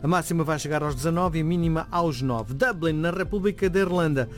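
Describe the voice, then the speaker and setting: A man's voice: on the high side, quick pace, faint music behind. A man with a European Portuguese accent, hosting a radio interview and reading the weather in a conversational way. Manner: conversational, probing questions. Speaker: radio host.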